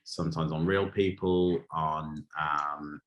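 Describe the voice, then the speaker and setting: A man from Wales with a low-pitched voice speaks as a guest in an interview. He is a film director giving conversational answers, often halting and restarting as he searches for the right words. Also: steady tone, fairly bright tone, highs cut off.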